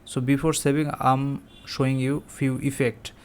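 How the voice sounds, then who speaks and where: A man presenting a step-by-step app tutorial in halting, repetitive phrases. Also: mid-range voice, little pitch movement.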